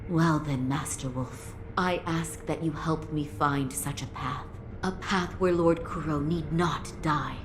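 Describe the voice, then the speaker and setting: A woman voicing a calm, compassionate but troubled woman in a game cutscene, soft-spoken, polite and earnest. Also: sits low, measured pace, hardly any pauses, background noise. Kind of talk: dramatic game cutscene acting.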